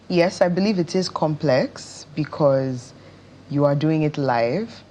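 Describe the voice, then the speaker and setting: A woman with a Nigerian accent giving measured, expressive answers as an actress being interviewed. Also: deep voice, slight background noise. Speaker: interview guest, stage and screen actress.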